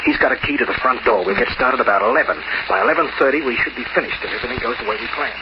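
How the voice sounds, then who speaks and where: Muffled and noisy like old radio, an actor plays a young hard-boiled man on the make. He talks quickly and clipped in a cool noir style. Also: medium pitch, lightly acted. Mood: confident, scheming. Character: scheming, cool, hard-boiled young male lover and crook. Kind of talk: 1940s radio noir acting.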